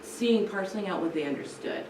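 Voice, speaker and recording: A woman's voice: mid-range voice, expressive, a little fast. An American woman giving coaching feedback as a teacher educator, often checking in with "right?". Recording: roomy, distant mic, clear background noise.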